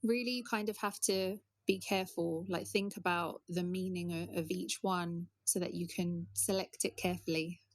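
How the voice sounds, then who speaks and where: A woman's voice: lowish voice, fairly even intonation, normal speed, few pauses, no echo. A woman co-hosting an English-learning podcast, explaining word meanings with examples in a casual, friendly way. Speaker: podcast co-host.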